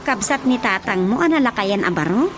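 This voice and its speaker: A high-voiced, nosy village auntie with an Ilocano accent , acted by a woman, chats and pries in radio drama style. Prominent music plays behind her. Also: animated, a little fast, lightly acted. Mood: inquisitive. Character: nosy, chatty village auntie questioning a young girl.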